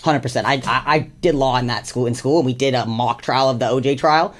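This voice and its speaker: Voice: medium pitch, fast talker. A music artist from Canada with a Canadian accent, chatting casually in an interview in an earnest, talkative way. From Hamilton, Canada. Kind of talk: casual chat.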